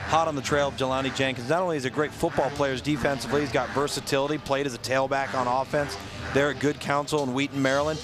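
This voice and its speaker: A man's voice: mid-range voice, fairly even intonation, fast talker. An American man giving explanatory, technical football analysis as the color commentator on a game broadcast. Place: outdoor football stadium. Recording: noisy outdoor stadium broadcast.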